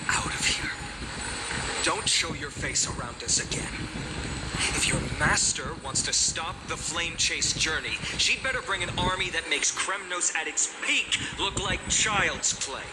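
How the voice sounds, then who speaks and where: A proud, restrained warrior makes firm threats in a fairly high male voice. The game-drama delivery is controlled, with loud noise behind it. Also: animated, a little slow. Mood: controlled menace. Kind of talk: dramatic video game acting.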